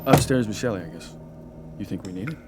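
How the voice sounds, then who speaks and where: An actor playing a brash, crude young ghost hunter with a cocky, casual manner in a radio drama. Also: male voice, on the low side, expressive, quick pace, heavy background noise, lightly acted. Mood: baffled. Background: loud dramatic music.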